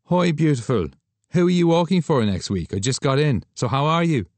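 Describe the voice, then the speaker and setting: A man's voice: fairly high pitch, lively intonation, brisk, a little mellow, no room sound, voice pushed up, lightly acted. Man doing a brash, flirtatious photographer. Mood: teasing, incredulous. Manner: teasing, exclamatory. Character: Irish male photographer, flirtatious, brash, excitable.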